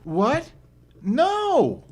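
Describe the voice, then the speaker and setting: A man reads as a timid woman caught in a zombie apocalypse audiobook. The voice is pushed up in pitch and swings around a lot. It sounds hesitant and pleading, like someone easily panicked. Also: huge pitch swings, drawn-out words, heavily acted.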